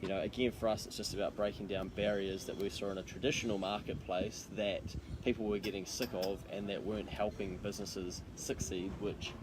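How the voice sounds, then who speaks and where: A man's voice: lowish voice, little pitch movement, a little fast, few pauses, background noise. A man with a New Zealand accent chatting casually to camera as an accountant co-hosting a video, in a matey, slangy way. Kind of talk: casual chat to camera for a live social video.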